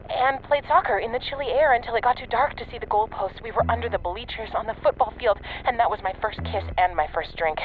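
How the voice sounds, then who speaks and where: A woman's voice: fairly high pitch, a little hiss or hum. An actress playing a sardonic, blunt female secret agent in an audio drama, cornered and unravelling, heard through a radio filter. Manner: blunt, terse, swearing. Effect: radio transmission filter. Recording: tinny narrow-band radio with music.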